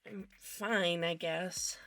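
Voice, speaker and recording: A woman voicing a withdrawn, nervous teenage girl student in an audio drama, quiet and hesitant. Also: fairly low pitch, fairly even intonation, extremely slow, no echo, exaggerated voice. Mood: anxious, downcast. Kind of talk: audio drama fan fiction reading.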